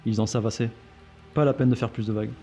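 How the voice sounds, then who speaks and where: A man acts out a cynical, suspicious intelligence agent in an audio drama. He talks fast in a relaxed, dark tone, dry and sardonic. Faint music plays behind him. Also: lowish voice, close to an everyday voice. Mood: suspicious, weary. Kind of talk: French audio fiction dialogue.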